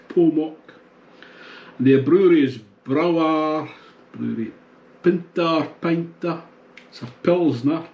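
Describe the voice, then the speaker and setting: Slow-talking older man musing aloud. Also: on the high side, gravelly. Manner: relaxed, musing, thinks aloud with pauses. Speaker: beer reviewer. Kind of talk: casual tasting review. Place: small home bar room, indoors.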